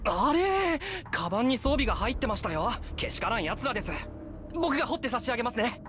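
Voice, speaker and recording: Game sidekick: deferential youngster, lively intonation, formal and fawning. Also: male voice, very high-pitched, a little fast, over music. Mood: eager awe. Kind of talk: dramatic video game acting.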